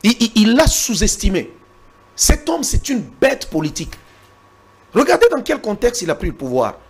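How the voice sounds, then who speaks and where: A man's voice: high voice, wildly swooping pitch, moderate pace, breathy edge. A Congolese man arguing hard in a political interview, repeating words when pressed and citing the Bible. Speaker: interview guest. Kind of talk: heated political debate.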